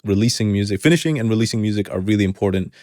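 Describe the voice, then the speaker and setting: A man's voice: fairly low pitch, rapid-fire, a little mellow, no echo, studio quality. A young man with a hybrid accent mixing Arabic, New Zealand and American sounds, talking casually and rambling in an interview with many restarts. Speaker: hip hop artist, guest.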